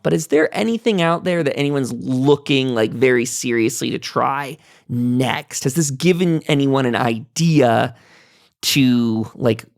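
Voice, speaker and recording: Podcast host for a casual game roundtable, gravelly fry, effusive and emphatic delivery. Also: mid-range voice. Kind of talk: casual enthusiastic panel discussion about a video game.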